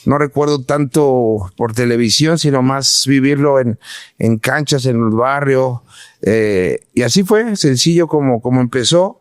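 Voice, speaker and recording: A man in his forties from Mexico City with a Mexican Spanish accent, telling his story as a podcast guest, halting and full of fillers. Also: medium pitch, smooth, dry recording, clean studio sound. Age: 40s. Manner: halting, many restarts and fillers. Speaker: guest, former pro footballer. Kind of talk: casual podcast interview.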